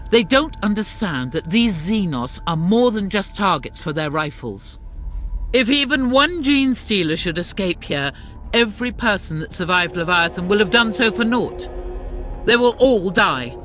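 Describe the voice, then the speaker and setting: A woman's voice: mid-range voice, expressive, a little slow, fairly bright tone. A woman playing a zealous, stern warrior sister in a game, speaking in solemn, pious, formal lines with music behind her. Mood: grave, zealous. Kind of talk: dramatic scripted game narration and dialogue.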